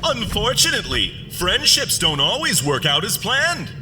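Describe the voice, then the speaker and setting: A man's voice: high-pitched, huge pitch swings, normal speed. A man playing an alien monster who acts as an over-cheerful, demented host of a children's radio show, in a theatrical sing-song delivery. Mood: cheery hosting. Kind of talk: fiction podcast acting, parody kids' radio show. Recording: long reverb, loud music behind.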